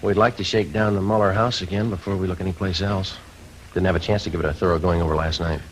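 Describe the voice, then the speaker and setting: An American man playing a terse police detective who narrates a 1950s radio drama in a clipped, deadpan way. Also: low-pitched, quick pace, slight background noise, lightly acted. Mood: firm. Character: terse, businesslike male police detective sergeant. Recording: dull 1950s radio recording with music.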